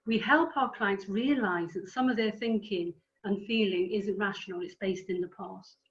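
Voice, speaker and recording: A woman with a Welsh accent giving a keynote talk on a video call, speaking quickly and explaining with fillers. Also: medium pitch, dull audio. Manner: fast-talking, explanatory, with fillers. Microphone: computer microphone on a video call.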